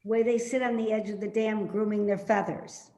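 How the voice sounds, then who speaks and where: A woman acting an elderly mother in a readers theater play, stubborn, grumbling and sharp-tongued, with a querulous delivery. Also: mid-range voice, steady tone, moderate pace, lightly acted. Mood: wistful. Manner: grumbling, guilt-tripping, pointed. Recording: roomy, echoey sound.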